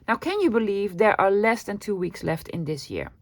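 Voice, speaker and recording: A woman's voice: mid-range voice, big pitch swings, normal speed, darkish tone, no room sound, clean studio sound. A middle-aged woman from the Netherlands with a Dutch accent, hosting a casual craft vlog in a friendly, self-deprecating way with frequent laughs and ums. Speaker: craft channel host. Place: craft room desk.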